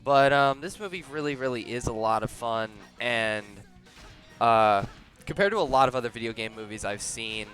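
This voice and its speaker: A young man giving a casual movie review close to the microphone, halting and often repeating words as he starts over. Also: mid-range voice, expressive, fairly bright tone, faint music behind. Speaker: video reviewer. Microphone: very close to the mouth.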